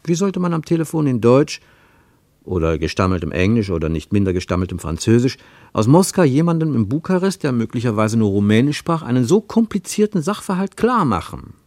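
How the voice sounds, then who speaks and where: Guy narrating a radio play, lowish voice, animated literary reading, dry studio sound. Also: fairly quick, dry recording.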